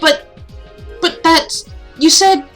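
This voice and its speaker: Woman voicing a sardonic teenage student, bright voice. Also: extremely high pitch, steady tone, slow pace, soft background music, pitched above the performer's own voice, exaggerated voice. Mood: reluctant. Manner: sarcastic, grumbling. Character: sardonic, surly teenage student, dry and reluctant.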